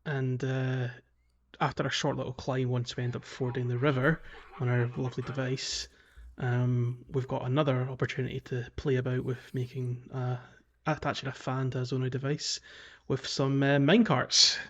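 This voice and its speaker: A man's voice: medium pitch, flat delivery, normal speed. A man co-hosting a gaming podcast in a casual, conversational way. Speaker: podcast co-host. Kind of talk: casual video game discussion.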